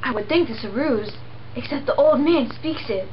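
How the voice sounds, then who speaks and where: A woman's voice: high-pitched, normal speed, lo-fi sound, a little hiss or hum. A young woman reading several parts in a home puppet show of a classic play, in a plain, earnest way. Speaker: amateur sock-puppet performer. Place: indoor room behind a sheet backdrop.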